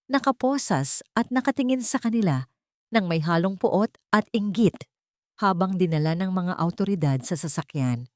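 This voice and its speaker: A woman's voice: medium pitch, a little mellow, dry recording, studio quality. A woman reading dramatic scripted narration of a love story.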